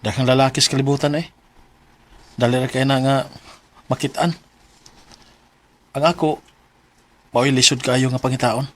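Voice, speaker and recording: A man's voice: medium pitch, steady tone, moderate pace, long pauses, close to an everyday voice. A man playing an earnest, hard-up young college student in a radio drama. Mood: wry, consoling.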